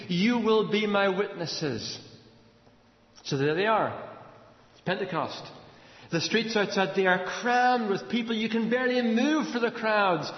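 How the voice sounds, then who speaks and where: Echoing hall, Irish male preacher, high-pitched voice, dramatic sermon storytelling, rhetorical questions. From Ireland. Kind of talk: sermon, expository storytelling from a Bible passage. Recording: echoey large hall, slightly dull sound.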